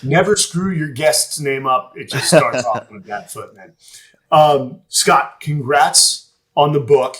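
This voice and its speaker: A man's voice: medium pitch, slow, a bit bright. Laid-back male podcast host. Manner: laid-back, says "dude" and "man". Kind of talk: casual interview hosting.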